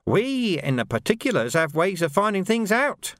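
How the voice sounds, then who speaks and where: A man's voice: sits high, sing-song, moderate pace, fairly bright tone, no room sound, voice pushed up, exaggerated voice. A man voicing a menacing, unhinged male sergeant who talks with sneering mock politeness.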